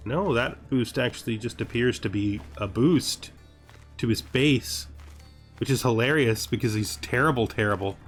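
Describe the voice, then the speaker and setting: A man's voice: mid-range voice, normal speed. A man giving casual video game commentary, thinking aloud with rhetorical questions and exasperated asides, sometimes reading game text aloud. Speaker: gaming series host. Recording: music behind the voice. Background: background music.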